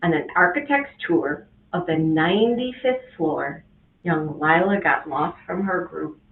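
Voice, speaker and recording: A woman reading a rhyming picture book aloud to children as a guest reader, with an expressive, sing-song delivery. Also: low-pitched, a little slow. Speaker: guest reader, school administrator. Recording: tinny, echoey, from a distance.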